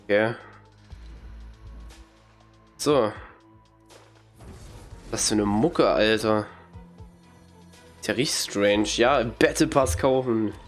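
A man's voice: on the low side, slow, long pauses, faint music behind. A young man with a cold, giving casual, blunt, slangy commentary on a video game as a streamer, talking into a headset in a small room at home. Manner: blunt, slangy, mocking reactions. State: has a cold, occasional coughing. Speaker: gaming streamer.

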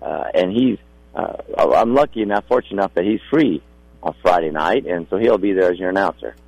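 A man's voice: sits low, lively intonation, fairly quick, gravelly, a little mellow, muffled recording. A middle-aged man with a polite, folksy way of talking, a guest in a casual radio interview. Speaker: rodeo contractor guest.

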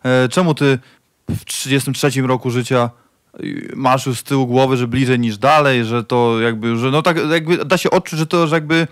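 A man's voice: medium pitch, steady tone, normal speed, dry recording. A male journalist asking a long question, hesitant and searching for words. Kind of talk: interview question.

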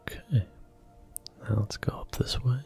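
A man softly whispering his way through a video game, gentle and unhurried, close to the mic. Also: soft background music. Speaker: ASMR gaming host. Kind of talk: soft-spoken ASMR gameplay commentary.

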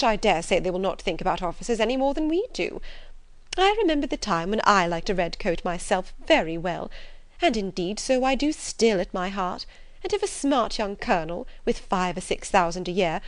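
A woman voicing an excitable, self-satisfied middle-aged mother in a classic novel audiobook, gushing and emphatic. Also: medium pitch, normal speed, no room sound, lightly acted. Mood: indignant, fond.

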